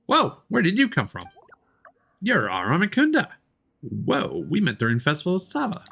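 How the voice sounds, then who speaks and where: Man putting on a high-pitched excitable little fairy companion. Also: normal speed, creaky, slightly rough, full character voice. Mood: surprised, curious. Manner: exclamatory, eager. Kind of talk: voicing video game dialogue.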